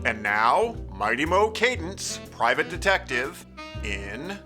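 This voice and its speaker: An actor playing a dramatic announcer introducing a detective radio serial in 1940s style, theatrical and deliberate. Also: male voice, on the high side, very animated, music in the background. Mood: grand, dramatic.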